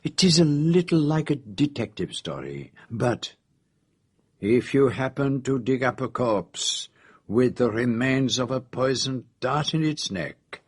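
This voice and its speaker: An actor playing a shrewd, courteous foreign detective in a radio drama, with a Belgian French accent and a precise, measured, self-assured way of explaining. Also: male voice, mid-range voice, big pitch swings, husky, dry recording, clean studio sound. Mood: reflective, kindly.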